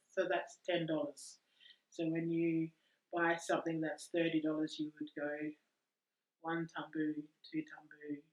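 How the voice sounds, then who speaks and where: A woman from Papua New Guinea who recalls her past slowly, pausing often as she searches for words. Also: on the low side. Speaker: storyteller in a community film. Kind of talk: slow personal recollection. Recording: roomy, distant, dull recording.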